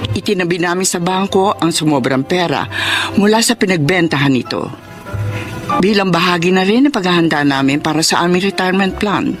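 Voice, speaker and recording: A Filipino wife and mother in middle age played by an actress, devoted but strong-willed, speaking in radio drama style. Music plays clearly behind her. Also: on the low side, brisk, close to an everyday voice. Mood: tender, reflective.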